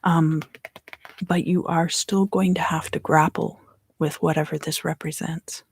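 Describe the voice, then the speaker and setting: Husky, whispering woman reading tarot slowly. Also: deep voice, little pitch movement, no room sound. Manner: whispered and soft-spoken, unhurried. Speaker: tarot reader and ASMR host.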